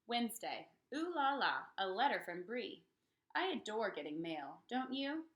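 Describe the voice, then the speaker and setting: A woman reading a children's picture book aloud in an expressive, dramatic way for young listeners. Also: mid-range voice, a little slow. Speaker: read-aloud storyteller.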